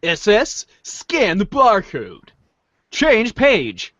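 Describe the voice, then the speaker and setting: Animated, playful reader, slightly muffled. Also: mid-range voice, drawn-out words, no echo. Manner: playful, theatrical page-change calls. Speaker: podcast host and reader. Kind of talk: dramatic group reading of a webcomic script.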